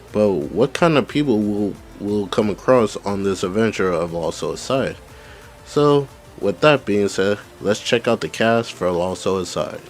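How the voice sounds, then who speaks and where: A man with a low voice, coming down with the flu, hosts a gaming video and talks casually. He reads game details aloud with small stumbles and restarts, then comments on them. Faint music plays behind him. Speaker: gaming channel host.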